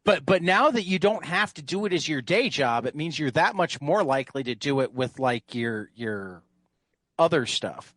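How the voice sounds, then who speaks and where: A man's voice: on the high side, expressive, moderate pace, fairly bright tone, no echo. Casual male podcast host, dry close sound. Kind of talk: casual group chat.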